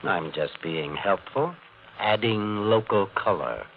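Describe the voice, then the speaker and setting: An actor playing a sly, idle island painter who mocks and needles in a wry drawl, in 1950s radio drama style. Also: male voice, low-pitched, animated, slow pace, narrow, tinny audio, heavily acted. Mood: dry, teasing. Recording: noisy 1950 radio drama recording.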